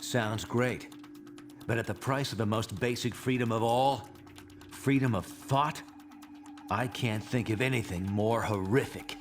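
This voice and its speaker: An actor playing a principled soldier superhero leader, earnest and firm, in a video game cutscene. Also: male voice, mid-range voice, a little slow, music in the background, natural voice. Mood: defiant.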